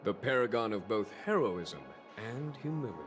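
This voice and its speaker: A man voicing a composed, genial and diplomatic general in a video game, measured and courteous. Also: mid-range voice, animated, close to an everyday voice. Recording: music under the voice.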